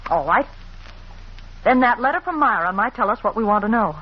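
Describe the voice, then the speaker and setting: A woman's voice: medium pitch, animated, brisk, close to an everyday voice. An actress playing an anxious, determined young woman sleuth in an old radio mystery, with an urgent, earnest delivery. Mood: excited, urgent. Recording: muffled, noisy old radio recording.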